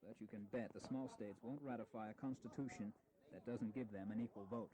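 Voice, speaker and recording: An actor playing an urbane, intellectual American diplomat in a historical audio drama, articulate, measured and gently teasing. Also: male voice, fairly low pitch, fairly even intonation, a crowd in the background, lightly acted, poor audio. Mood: emphatic.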